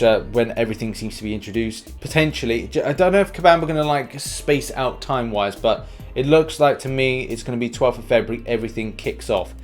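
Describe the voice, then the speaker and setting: A man's voice: medium pitch, darkish tone. Man presenting informal news over music. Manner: informal, conversational. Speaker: host of a gaming news video. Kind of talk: video news commentary. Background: background music.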